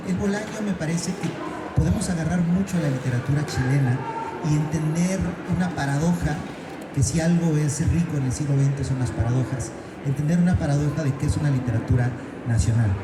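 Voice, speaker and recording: A man from Oaxaca with a Mexican accent, talking as a literary panelist in an enthusiastic, digressive, conversational way. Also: mid-range voice. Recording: echoey venue with music behind.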